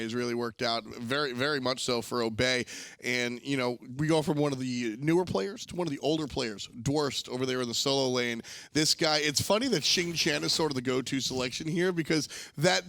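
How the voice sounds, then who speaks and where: A man with a creaky, gravelly voice hosts an esports desk. He presents emphatically, moving between hosting and analysis, and the sound is dry and close. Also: medium pitch, brisk, a bit bright, dry recording.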